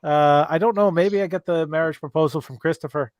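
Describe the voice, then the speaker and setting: An Irish man hosts a live video-call chat show and reads viewer questions aloud. His voice sits a little high and sounds dry and close, and he stumbles through fillers and restarts as he talks. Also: a little fast, no echo, lo-fi sound. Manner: hesitant, many fillers and restarts. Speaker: livestream host.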